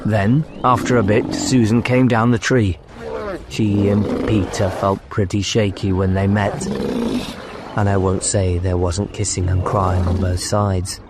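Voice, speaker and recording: A man's voice: on the low side, flat delivery, a little slow, very noisy. A man reading a children's fantasy audiobook as narrator, in a dramatic storytelling style.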